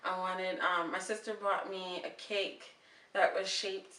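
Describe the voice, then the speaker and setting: A woman's voice: on the low side, measured pace. A woman in her thirties hosting a casual interview show, chatty and upbeat. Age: 30s. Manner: upbeat, chatty, lively bursts of excitement. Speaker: host. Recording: echoey room from far off, faint noise.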